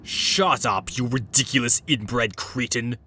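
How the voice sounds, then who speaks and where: A man's voice: medium pitch, slow, bright, forceful tone, a little hiss or hum. A man playing a haughty, ruthless, wealthy villain in a comic dub, sneering and articulate. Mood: bitter.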